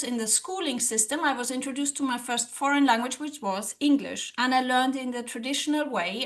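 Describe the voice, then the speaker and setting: High-voiced woman, earnest and animated. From Germany. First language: German. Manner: earnest, animated, often acts out her own past thoughts. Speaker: guest, social psychologist and language coach. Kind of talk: interview conversation.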